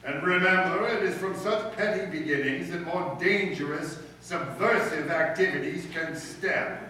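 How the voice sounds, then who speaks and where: An echoey, distant recording of a man acting as a pompous middle-aged school principal from radio comedy. His voice is fairly high and forceful, and he speaks in formal, commanding phrases. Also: moderate pace. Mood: stern. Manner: pompous, authoritarian, formal diction. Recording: echoey room from far off, faint noise.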